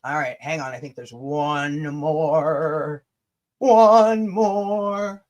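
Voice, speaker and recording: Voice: mid-range voice, drawn-out words, darkish tone. A livestream host chatting casually and reading messages aloud, blunt and sweary, stumbling and rereading as they go.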